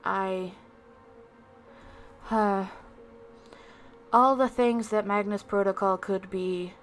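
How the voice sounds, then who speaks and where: A woman's voice: mid-range voice, steady tone, slow. A woman livestreamer chatting casually to viewers in a rambling, self-deprecating way, with music behind her. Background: background music.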